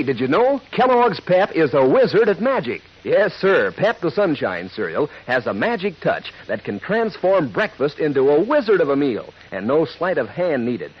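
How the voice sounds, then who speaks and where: A man delivering a chummy, folksy sales pitch to kids as a 1940s radio announcer. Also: breathy edge. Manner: chummy, folksy salesman patter addressed to children. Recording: dull old radio broadcast recording.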